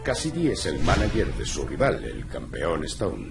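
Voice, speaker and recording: A man voicing a gruff, weary cat private detective in a video game, with a deep gravelly voice, a terse, dry delivery. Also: huge pitch swings, measured pace, exaggerated voice. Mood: businesslike. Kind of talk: video game dialogue acting. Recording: roomy echo, faint music behind.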